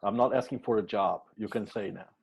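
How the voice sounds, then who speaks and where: A man from Brazil hosting a casual podcast interview, halting and restarting his words. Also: fairly low pitch, gravelly, dull audio, background noise.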